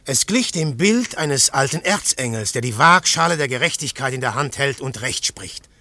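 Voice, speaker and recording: Ein Schauspieler spielt in einem Hörspiel einen leidenschaftlichen Detektiv, der mal drängend ruft, mal leise grübelt. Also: male voice, medium pitch, animated, moderate pace, no room sound. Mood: brooding. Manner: fervent, declamatory, shifting to hushed musing. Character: intense male detective, brooding philosopher. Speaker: detective narrator. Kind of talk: radio play acting.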